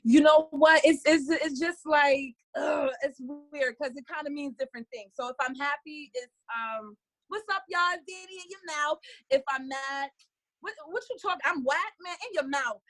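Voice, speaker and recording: Bubbly high-voiced New woman. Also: moderate pace. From Harlem, New York. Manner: animated, laughs often. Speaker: guest, sketch artist. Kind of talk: casual podcast chat.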